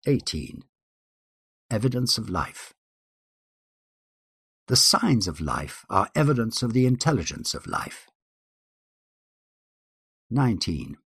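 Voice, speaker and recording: Male reader, husky and very expressive in pitch, formal scripted delivery, close dry sound. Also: slow pace, long pauses, dry recording. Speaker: guest reader. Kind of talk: formal scripted reading of numbered principles.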